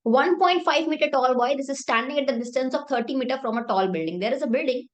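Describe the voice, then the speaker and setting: Young female math tutor, sore throat, fairly high voice, talks through each step and answers her own questions. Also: extremely slow. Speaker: math teacher. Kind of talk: Hindi-English math lesson.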